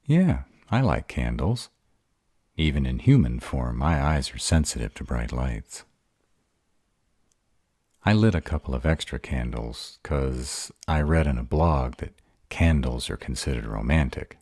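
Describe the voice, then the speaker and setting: A gruff were-dragon in love, acted by a man, speaks in an extremely low, dark voice. He is earnest and easily flustered, hesitating over tender words in an intimate romantic roleplay, with lively swings of pitch. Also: a little slow, dry recording, studio quality, exaggerated voice. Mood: bashful, relieved. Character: gruff, bashful male were-dragon lover in human form. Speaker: voice actor in roleplay audio.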